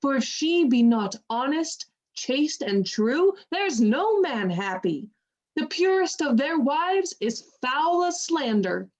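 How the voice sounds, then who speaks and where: An actress playing a loyal, frank lady's maid in a Shakespearean radio play, firm, emphatic and measured. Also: high-pitched, big pitch swings, a little mellow, heavily acted. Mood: indignant, earnest. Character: loyal, frank lady's maid, staunchly protective of her mistress.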